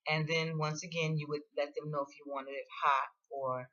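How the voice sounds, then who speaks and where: Low-voiced woman, informal how-to talk. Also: little pitch movement, normal speed, sharp tone, dull audio. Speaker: host of a how-to video on ordering food. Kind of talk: casual explanatory voice-over.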